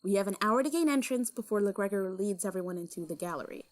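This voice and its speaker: A woman's voice: mid-range voice, animated, quick pace, lightly acted. A woman playing an officious, exacting heist planner in an audio drama, with a precise, prim, businesslike manner.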